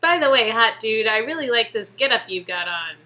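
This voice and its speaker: A woman's voice: a little high, lively intonation, brisk, sharp tone. A woman reading game dialogue aloud in a casual, playful way, with a teasing, flirty manner. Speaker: co-reader performing a visual novel game.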